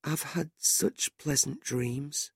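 A frail young boy near death, his voice thin, high and pleading, as a male storyteller puts him on. The pitch leaps far above the reader's own, while the recording stays close and dry. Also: unhurried, a little mellow, breathy edge, dry recording. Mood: weak tender. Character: frail dying young boy, fearful then tender.

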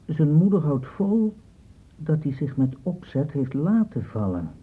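An actor playing an informed, unsentimental doctor in a Dutch radio play, reporting in a clipped, factual way. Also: male voice, a little high, sing-song, unhurried, slightly rough, heavily acted. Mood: reporting, dry. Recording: muffled, dull old radio recording.

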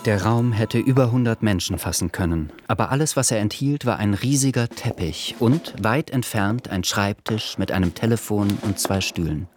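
A man playing the measured narrator of an uneasy literary radio drama, reading scripted prose. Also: lowish voice, animated, darkish tone, soft background music, close to an everyday voice.

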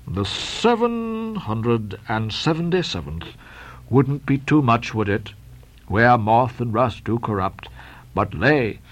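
A man voicing a stingy, solemn old Quaker captain with a slow, mumbling, sepulchral delivery and archaic pious speech. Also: mid-range voice, very animated, pitched below the performer's own voice, poor audio. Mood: droning, pious.

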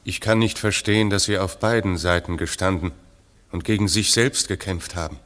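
A puzzled, reproachful man with a deep voice, pressing his words out quickly. He sounds distant, with reverb from the hall trailing after him. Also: steady tone, fairly bright tone.

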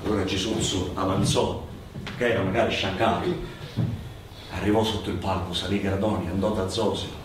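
A man's voice: low voice, flat delivery, a little fast, lightly acted. A man with a Sicilian accent acting and telling a folk tale on stage in an emphatic, declamatory way. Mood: storytelling. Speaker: stage actor and storyteller. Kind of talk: theatrical storytelling in Sicilian-flavoured Italian. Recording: very echoey hall, distant mic, faint noise.

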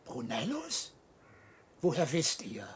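An actor playing an officious, courteous monastery cellarer in a radio play, ceremonious and excitable. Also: male voice, a little high, takes it slowly, breathy edge, full character voice. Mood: surprised.

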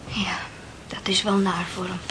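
An actress playing a polite, gentle, soft-spoken young lady of good family in a Dutch radio play. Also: on the low side, little pitch movement, measured pace, noisy background, lightly acted.

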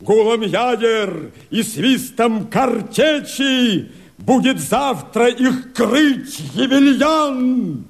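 Fiery cossack rebel, radio play verse, super slow drawn-out delivery, voice pitched way up. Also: male voice, expressive, vocal fry, full character voice. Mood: solemn, exultant. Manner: impassioned, drawn-out theatrical declamation. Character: fervent rebel Cossack, male, exalted and urgent. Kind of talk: declaimed verse radio drama.

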